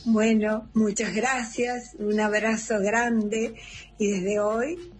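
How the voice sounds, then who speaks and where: An elderly Argentine woman in her eighties leaving a chatty, affectionate, unhurried personal message for a radio show. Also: medium pitch, flat delivery.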